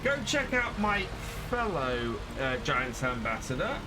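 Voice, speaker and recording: A man's voice: mid-range voice, big pitch swings, measured pace, background noise. A man casually chatting with his live chat while playing a farming game, thanking followers and answering questions as he plays. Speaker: streamer. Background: game music.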